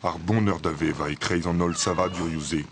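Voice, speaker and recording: A man voicing a gentle, grave old talking tree in a children's cartoon. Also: low-pitched, fairly even intonation, normal speed, background noise, close to an everyday voice. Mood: wistful. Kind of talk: dubbed children's cartoon acting. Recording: music under the voice.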